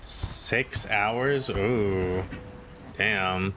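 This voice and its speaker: A man's voice: on the low side, extremely slow, bright, forceful tone. A man doing casual, slangy, sweary gameplay commentary and chatting with his viewers. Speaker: video game livestreamer. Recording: clear game noise behind voice. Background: video game sound.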